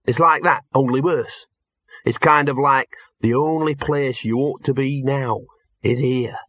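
A man's voice: mid-range voice, a little slow, fairly bright tone, slightly rough, dry recording, highs cut off, pitched above the performer's own voice. A man voicing a mournful, know-it-all talking dog in an audiobook, muttering and whining in colloquial speech. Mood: explaining, exasperated. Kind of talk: audiobook character voice.

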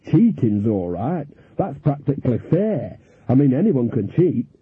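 A man's voice: medium pitch, big pitch swings, measured pace, slight background noise. A man doing a gossipy old witch woman. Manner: chatty, folksy. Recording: thin phone-like sound.

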